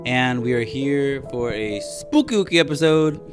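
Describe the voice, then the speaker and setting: A man co-hosting a podcast in casual chat, his voice hoarse and scratchy, teasing his co-host and laughing often. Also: medium pitch, animated, moderate pace, music in the background.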